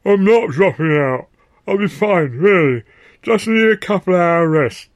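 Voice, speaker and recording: A man voicing a badly beaten young man who insists loudly that he is fine. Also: high voice, expressive, unhurried, no echo, pitched above the performer's own voice. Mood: insistent. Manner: strained, loud, insistent. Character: battered young man, stubborn and defiant.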